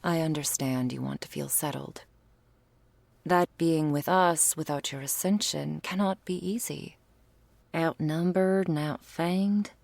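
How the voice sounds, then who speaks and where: A woman playing a stern but devoted vampire lover in an ASMR roleplay, speaking in a formal, measured, affectionate way. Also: fairly low pitch, gravelly, a little mellow, dry recording. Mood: tender, reassuring.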